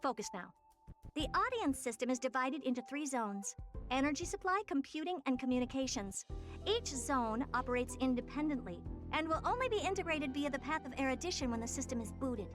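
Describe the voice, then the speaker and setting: An actress playing a smug, imperious woman scientist in an anime-style video game, curt and sarcastic with a deadpan edge. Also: sits high, fairly quick, over music. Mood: curt, bossy. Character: haughty, self-declared genius woman scientist, curt and sarcastic.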